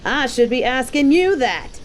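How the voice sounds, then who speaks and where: A woman's voice: sits high, expressive, unhurried, slight background noise. A woman playing a cocky, dominant bounty hunter in an audio roleplay, with a mocking, taunting, slangy delivery. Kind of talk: scripted roleplay audio drama.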